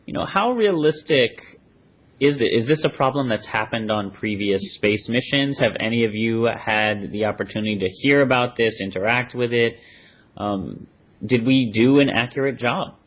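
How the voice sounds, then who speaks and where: A man's voice: medium pitch, expressive, normal speed, poor audio. A man hosting a podcast in a casual interview style, with a jokey, playful manner. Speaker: podcast host.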